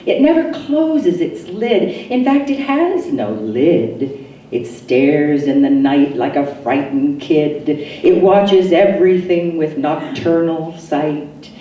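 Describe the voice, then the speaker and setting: A woman poet recites in a mellow, fairly low voice, lingering dramatically over each phrase with deliberate pauses. Her delivery is expressive and unhurried. The recording is very roomy and distant, with faint noise behind her. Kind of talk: poetry reading, recited expressively.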